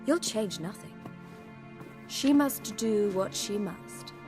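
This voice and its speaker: A woman's voice: medium pitch, expressive, takes it slowly, a little mellow, faint music behind. An actress voicing a bitter, contemptuous female elf in a fantasy video game, disdainful and cutting. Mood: scornful.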